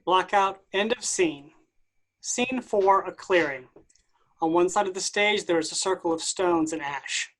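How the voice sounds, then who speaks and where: A woman's voice: on the low side, unhurried, rough recording. A woman reading out stage directions as scripted narration for a play reading.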